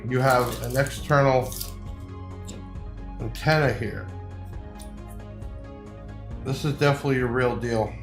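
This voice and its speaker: A man's voice: medium pitch, steady tone, takes it slowly, pauses often. A man giving a casual, off-the-cuff product review, explaining things informally like a salesman. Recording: echoey room, faint music behind.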